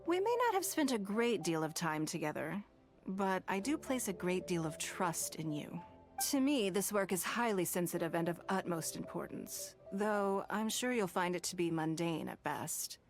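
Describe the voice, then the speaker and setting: Poised, lower-pitched commanding lady. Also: lively intonation. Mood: composed, businesslike. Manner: formal, measured, eloquent. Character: poised, commanding, courteous wealthy woman leader, dryly teasing. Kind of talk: scripted video game dialogue. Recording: music under the voice.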